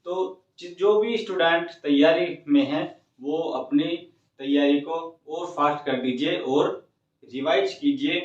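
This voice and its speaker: A man explaining exam updates to students in an informal teacherly way, often checking in with short phrases. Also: a little high. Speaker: exam coaching presenter. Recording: muffled, echoey room, distant microphone.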